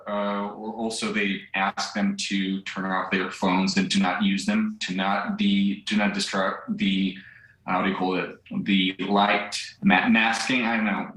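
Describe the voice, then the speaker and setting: Young Ukrainian man, low flat voice, halting English, echoey room. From central Ukraine. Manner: halting, searches for words, restarts. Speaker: volunteer driver interviewed live. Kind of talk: live remote news interview. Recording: echoey remote link.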